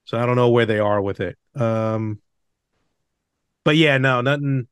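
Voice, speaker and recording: A man hosting a casual chat podcast, talking in a halting, measured way with small asides and self-corrections. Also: mid-range voice, clear, resonant tone, dry recording, clean studio sound. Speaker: podcast host. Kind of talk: casual chat about games and film.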